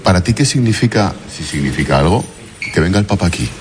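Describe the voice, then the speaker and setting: A man's voice: sits low, expressive, fast, a crowd in the background. A man working as a reporter, asking direct, conversational questions in interviews and narrating a voice-over. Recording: music playing underneath.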